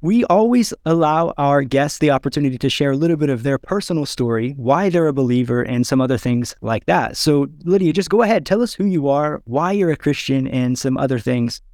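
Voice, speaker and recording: A man's voice: medium pitch, lively intonation, a little fast, few pauses, darkish tone, dry recording, clean studio sound. A man who preaches, hosting an interview podcast in a conversational style.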